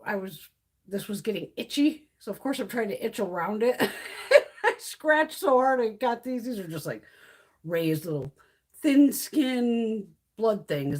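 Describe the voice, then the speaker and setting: A middle-aged woman hosts a casual beauty live stream and rambles through stories with loose, lively swings in her voice. Her tone is husky and slightly worn, as if she is starting to lose her voice, and she swears freely and laughs a lot. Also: medium pitch. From Connecticut, USA. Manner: swears freely, laughs often, chatty storytelling.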